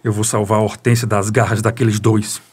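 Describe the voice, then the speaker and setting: A man's voice: on the low side, flat delivery, a little fast, darkish tone, slightly rough. A man playing an earnest, insistent, protective character with a Brazilian accent in a radio soap opera. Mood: earnest, worried.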